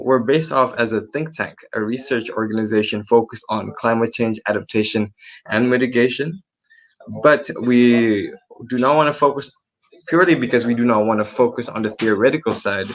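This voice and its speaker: A man's voice: mid-range voice, rough recording. A man from Indonesia, a research assistant, giving a casual online presentation with many ums and restarts over a computer mic. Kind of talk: presenting a webinar talk.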